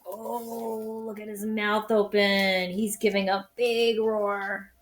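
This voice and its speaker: A woman's voice: mid-range voice, level tone, slow. A woman reading a picture book aloud expressively, speaking to young listeners with dramatic emphasis on words. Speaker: storybook reader for children. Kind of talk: expressive children's read-aloud.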